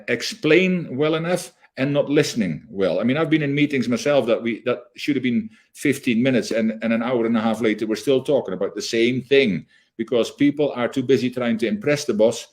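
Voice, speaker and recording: A man's voice: medium pitch, a little mellow. A man being interviewed as a leadership trainer, explaining in an emphatic, conversational way with quoted examples.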